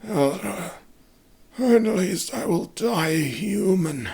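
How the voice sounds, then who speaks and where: A man's voice: sits high, animated, extremely slow, creaky, darkish tone, slightly rough, voice pushed up, exaggerated voice. A man voicing a wounded farmer in a fantasy horror story, desperate and defiant, grunting and strained with pain.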